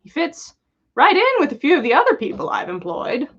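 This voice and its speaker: A woman's voice: high-pitched, wildly swooping pitch, a little fast, a bit bright, muffled recording, voice pushed up, full character voice. A woman voicing a cheerful, unbothered old male wizard in a breezy, amiable manner for a fan fiction reading.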